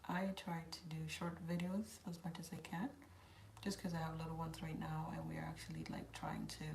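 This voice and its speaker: East african woman chatting casually on her stream, lowish mellow voice, roomy sound w/ a bit of noise. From South Sudan, grew up in Kenya. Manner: informal, switches between English and Swahili. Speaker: host of a hair channel. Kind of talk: casual livestream chat answering viewer questions. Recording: roomy echo, faint background noise.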